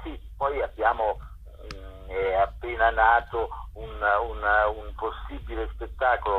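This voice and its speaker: A man with a lowish voice is being interviewed as a festival curator over a muffled, lo-fi phone line. He talks haltingly and searches for his words as he answers. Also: husky. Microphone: telephone. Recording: dull lo-fi telephone line.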